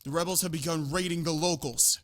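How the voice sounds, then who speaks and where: An urgent young scout played by a man in a game fan dub. The voice is high-pitched for a male soldier. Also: steady tone, brisk, background noise, natural voice.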